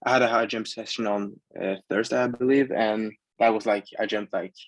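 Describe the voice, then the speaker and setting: A young man in his late teens speaks English with a Norwegian accent. His voice is fairly low and even in pitch, in casual interview talk with a dry, close sound. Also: a little fast, dry recording, rough recording. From Norway. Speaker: athlete guest.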